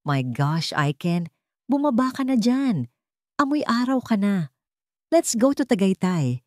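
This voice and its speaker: A woman's voice: mid-range voice, very animated, unhurried, warm tone, no echo, pitched above the performer's own voice. A haughty, spoiled young Filipina socialite as a woman storyteller plays her in an audio drama. She whines with disdain and slips between English and Tagalog, recorded very dry. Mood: disdainful.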